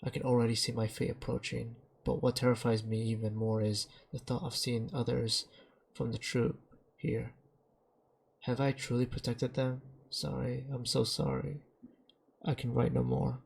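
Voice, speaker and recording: A man narrating game text aloud in a dramatic, halting way, stumbling over some words as he reads. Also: mid-range voice, flat delivery, gravelly, darkish tone, slight background noise.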